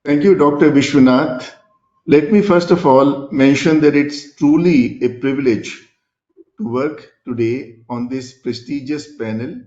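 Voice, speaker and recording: A man's voice: medium pitch, a little mellow. A man with an Indian English accent, a panelist answering formally and courteously, hesitating with many fillers. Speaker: panelist, former commission chairperson. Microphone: video call. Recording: echoey, dull video call audio.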